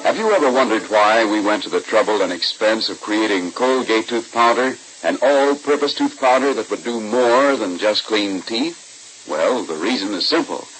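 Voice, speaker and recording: A man's voice: fairly low pitch, expressive, normal speed, fairly bright tone, faint music behind, rough recording. A man as a 1940s radio announcer, reading scripted narration and ads in a polished, emphatic, selling manner. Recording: hissy old-time radio recording.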